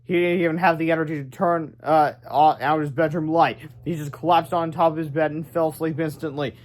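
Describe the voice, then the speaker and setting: A man reads a children's book aloud. His voice sits a bit higher than most men's as he narrates the story. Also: steady tone, a little fast. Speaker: storybook reader.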